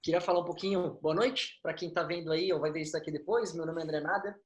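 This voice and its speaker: A man's voice: a little high, steady tone, fast. A man from Brazil, introducing a discussion in a friendly, explanatory way. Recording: roomy echoing room.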